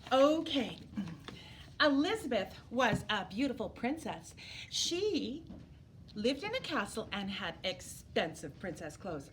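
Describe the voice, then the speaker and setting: A woman reading a picture book aloud to young children as a storyteller, animated and emphatic, drawing words out for drama. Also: fairly high pitch, slow pace, a little hiss or hum. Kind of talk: expressive children's read-aloud.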